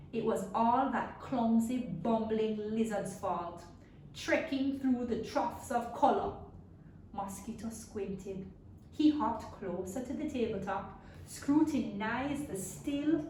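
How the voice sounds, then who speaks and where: Slow, theatrical woman storyteller in echoey room. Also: mid-range voice, darkish tone. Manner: theatrical, with emphatic drawn-out words and questions to listeners. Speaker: storyteller reading aloud to children. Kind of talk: dramatic read-aloud story narration. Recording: echoey room, distant mic, faint noise.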